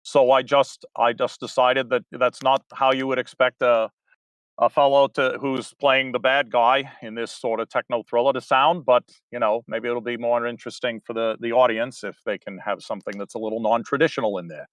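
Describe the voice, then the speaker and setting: A man's voice: medium pitch, steady tone, moderate pace, dry recording. Chatty man with a dry, close sound. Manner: rambling, hesitant with many restarts. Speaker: guest, audiobook narrator. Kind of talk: casual interview chat.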